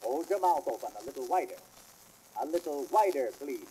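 A hissy vintage 78 rpm comedy record features a courteous, formal dentist acted by a man. His voice is fairly high and mellow, swinging expressively as he phrases things precisely. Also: expressive, fairly quick, close to an everyday voice, poor audio. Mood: polite, businesslike. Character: polite, formal male dentist, patient and businesslike. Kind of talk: 1910s comic sketch record acting.

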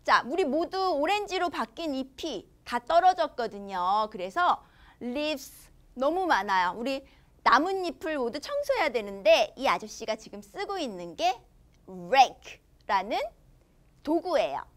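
High-pitched, animated young woman teaching children. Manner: expressive, enunciates slowly for children. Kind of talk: children's language lesson, switching between Korean and English.

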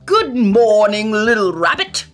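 A woman's voice: mid-range voice, big pitch swings, measured pace, soft background music, voice pushed up. A woman doing a hearty papa squirrel. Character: friendly grown male squirrel neighbor, hearty.

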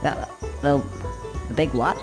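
A man's voice: medium pitch, takes it slowly, music in the background, close to an everyday voice. A man playing a hesitant, sceptical young squirrel in a comic video game, with a dry, offhand delivery. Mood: wary. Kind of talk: video game cutscene acting.

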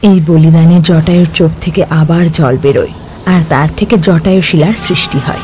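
A man narrating a cartoon folk tale in a steady storytelling voice. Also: fairly high pitch, normal speed, slight background noise.

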